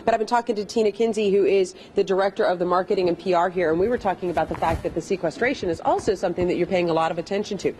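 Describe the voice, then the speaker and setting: A woman's voice: on the low side, rapid-fire, few pauses, a little mellow. A woman working as a live TV news reporter, interviewing on location in a chatty, conversational, playful way. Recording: faint background noise.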